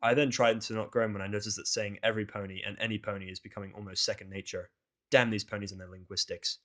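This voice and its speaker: A man with a fairly low voice reads first-person fan fiction as a narrator. His delivery is scripted but casual, with a grumbling, sardonic edge. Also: steady tone, fast talker, a bit bright.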